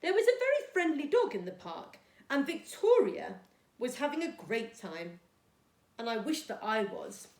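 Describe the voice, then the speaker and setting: A lonely, well-mannered little storybook boy, acted out by a woman, shy and earnest. The voice is high and lilting, in an echoey room. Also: big pitch swings, moderate pace, darkish tone. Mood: bored, wistful. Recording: echoey, roomy sound.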